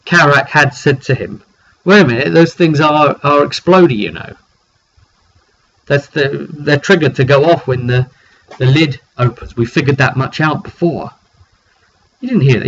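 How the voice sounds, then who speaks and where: Man, game master, casual animated storytelling, repeats himself, dull recording, faint background noise. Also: medium pitch. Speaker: game master recapping a tabletop roleplay session.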